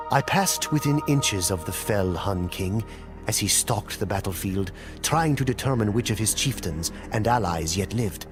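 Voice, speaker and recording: A man playing a grave storyteller in a game cutscene, narrating ancient wars in a solemn, theatrical, deliberate way. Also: medium pitch, natural voice. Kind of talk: dramatic scripted storytelling narration.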